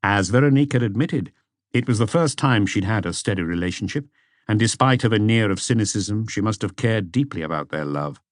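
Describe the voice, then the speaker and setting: Male audiobook narrator for mystery fiction: low register, animated intonation, very dry sound. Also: fairly quick, no room sound. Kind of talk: scripted mystery novel narration.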